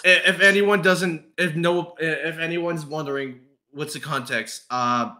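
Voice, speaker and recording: Stammering man with a bright, forceful voice. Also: fairly high pitch, fairly even intonation. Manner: halting, stammering, repeats words. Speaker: podcast host. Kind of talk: casual chat.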